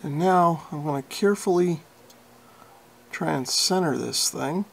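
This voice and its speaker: Guy with a highish voice and some fry, talking thru a repair casually, kinda folksy. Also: a little slow. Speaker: radio repair hobbyist and video host. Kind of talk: informal hands-on repair tutorial narration.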